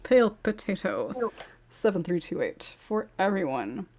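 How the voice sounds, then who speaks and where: A woman's voice: medium pitch, very animated, unhurried, darkish tone. A woman hosting a casual group podcast, moderating questions in a giggly, apologetic and flustered way. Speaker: podcast host.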